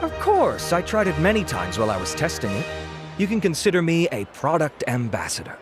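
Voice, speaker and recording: A self-assured, handsome researcher delivers a grand game sales pitch. His voice is fairly high and very animated, theatrical and vain, over music. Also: a little fast. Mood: confident. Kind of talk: dramatic product pitch in a game.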